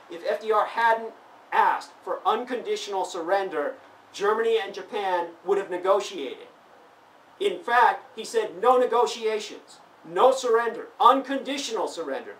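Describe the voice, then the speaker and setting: A man's voice: high-pitched, a bit bright. A man born in Taiwan giving a lecturing monologue that turns heated and emphatic as he answers critics at a convention. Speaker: interviewee. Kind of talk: monologue answering online comments. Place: convention venue. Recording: roomy, distant mic, faint background noise.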